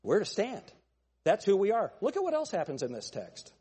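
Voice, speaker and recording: A male pastor preaching a fiery sermon with a thin, nasal voice, pressing his points with forceful, repeated phrases. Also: sits high, wildly swooping pitch, moderate pace, slightly rough, in a large hall. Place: church sanctuary.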